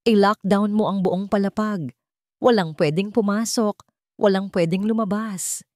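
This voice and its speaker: A woman with a Filipino accent voicing a menacing, controlling male villain in an audiobook, calm, cold and threatening. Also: medium pitch, measured pace, dry recording, studio quality, close to an everyday voice. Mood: cold, commanding. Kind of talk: audiobook character acting.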